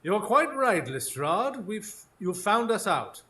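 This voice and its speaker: A man acts out a clever, haughty detective for a radio drama. His delivery is precise and formal, pitched fairly high, with very animated swings of intonation. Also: slow, full character voice. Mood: smooth, cunning. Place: small theatre stage, recorded live in front of an audience.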